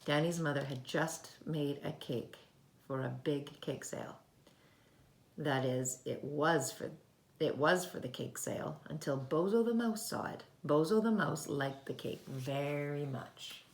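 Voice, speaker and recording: A woman reading a children's storybook aloud in an expressive way, talking directly to young listeners. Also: sits low, measured pace.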